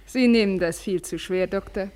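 An actress playing a calm, reassuring woman with a matter-of-fact manner in a 1950s German radio play. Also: medium pitch, normal speed, close to an everyday voice. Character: calm, reassuring woman advising a worried acquaintance.

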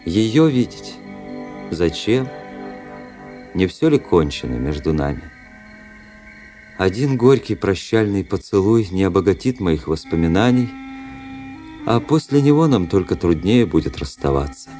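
An actor playing a proud, cold, world-weary young officer in a radio play, speaking in a measured, reflective, formal manner. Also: male voice, low voice, big pitch swings, mellow, soft background music. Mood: brooding. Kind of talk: radio play acting, literary narration.

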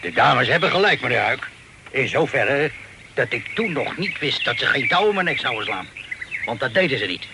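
An actor playing an old sea captain who tells his adventures slowly with dry sayings, in an old radio play with hiss. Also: mid-range voice, big pitch swings, vocal fry. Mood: storytelling. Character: old sea captain telling yarns, gruff and dry-witted. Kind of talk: old Dutch radio drama acting.

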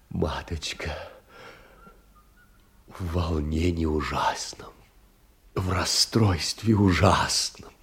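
An actor playing a meek, tender poor clerk in an audio play, with a husky voice and an emotional, hesitant delivery. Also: male voice, mid-range voice, a little mellow. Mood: agitated, hushed. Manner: emotional, trembling, hesitant, repeating himself. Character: meek, tender, agitated poor clerk pouring out his heart. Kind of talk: theatrical monologue in an audio play.

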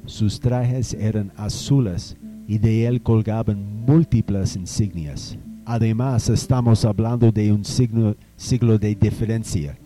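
A Colombian man playing a proud, formal nineteenth-century road builder in an amateur radio drama, stately and long-winded. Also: fairly low pitch. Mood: indignant, correcting.

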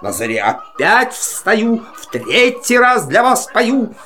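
Cranky old rooster, crowing, sharp voice, drawn-out rhymes for a kids play. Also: male voice, high-pitched, very animated, heavily acted. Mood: grumpy complaining. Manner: crowing, blustery. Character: grumpy, irritable old rooster, crowing and complaining. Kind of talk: children's audio play in rhymed verse.

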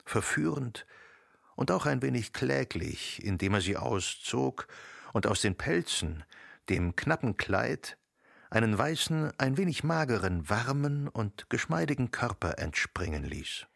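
A man reading literary narration for a radio drama in a measured storytelling manner. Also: medium pitch, very animated, creaky, a bit bright, no room sound, studio quality. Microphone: close studio microphone.

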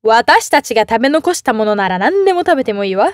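A woman's voice: on the high side, big pitch swings, fast. A woman voicing a cruel, scornful stepmother in a storybook tale, with a haughty, scolding manner. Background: music.

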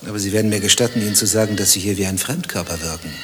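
A man's voice: lowish voice, racing, slight background noise, close to an everyday voice. An actor playing a contrarian, dryly philosophical zoo visitor in a radio play, pedantic and probing in his talk. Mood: needling.

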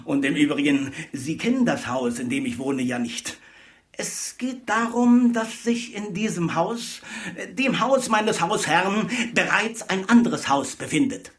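An eccentric male tenant in a radio drama, heard slightly off the microphone. His voice is very high for a man. He is stubborn and mistrustful, putting his words formally and theatrically with dogged insistence. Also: lively intonation, a little slow, recorded from a distance. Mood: secretive, mistrustful. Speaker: actor in a radio play. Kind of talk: 1980s German radio drama acting.

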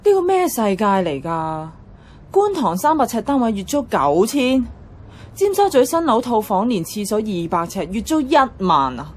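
An actress playing a feisty, grumbling young flat-hunter with a Hong Kong Cantonese accent, expressive and slangy in a radio drama. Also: female voice, on the high side, normal speed, slight background noise. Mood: exasperated.

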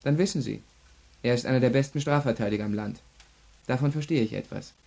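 An actor in a German radio crime drama playing an arrogant, self-assured young law student in custody, husky, clipped and defiant. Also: male voice, medium pitch, fast, darkish tone. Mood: smug, boastful. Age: young adult.

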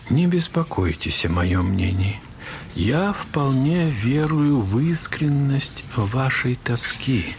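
An actor playing a gentle, wise old monastery elder in a Russian audio drama, speaking in a measured, consoling way. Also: male voice, medium pitch, animated, background noise. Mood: tender, consoling. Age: older.

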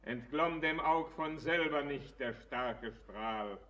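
Mysterious elderly miner and tempter, radio drama; sharp-edged voice, imperious, drawn-out delivery. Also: male voice, medium pitch. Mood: cryptic. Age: older. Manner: measured, cryptic, imperious. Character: mysterious, uncanny old miner, commanding tempter. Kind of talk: verse radio drama acting.

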